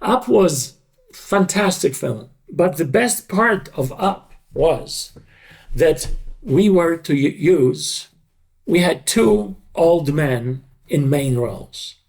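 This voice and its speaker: Casting: man, slightly high creaky voice, slow deliberate interview delivery. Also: expressive, a little mellow. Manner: deliberate, halting, emphatic short phrases. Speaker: dubbing creative director. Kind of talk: reflective interview talk.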